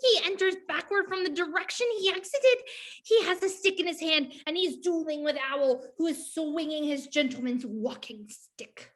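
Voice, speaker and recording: A woman's voice: very high voice, normal speed, fairly bright tone. A woman reading stage directions as scripted narration for a play.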